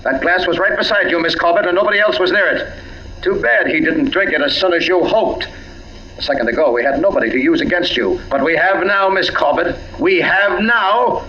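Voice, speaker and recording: Stern, high-pitched radio lawman. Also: brisk, pushed and bright. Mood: stern, accusing. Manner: clipped, accusatory, emphatic. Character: stern, accusing male lawman making an arrest. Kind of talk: 1940s radio drama acting. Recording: muffled, echoey 1940s radio recording.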